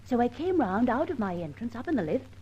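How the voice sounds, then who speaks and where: Insistent British lady accomplice, high and husky. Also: sing-song, fast talker, dark tone. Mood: eager. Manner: insistent, bantering, indignant. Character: eager, observant young lady accomplice, easily exasperated. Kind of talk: old-time radio detective drama acting. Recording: dull old radio recording.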